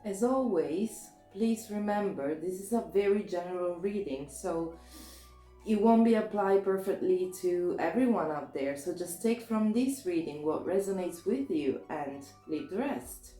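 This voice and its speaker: A woman with a sore throat, a little hoarse, giving a casual tarot reading in a chatty, hesitant way with many restarts. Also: lowish voice, animated, measured pace, warm tone, echoey room, faint music behind. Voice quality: slightly hoarse. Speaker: tarot reader.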